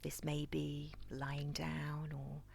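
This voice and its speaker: A woman leading a guided body scan meditation in a soft, near-whispered, breathy voice with a calm, gentle, soothing manner. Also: sits low, slow. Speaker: guided relaxation instructor.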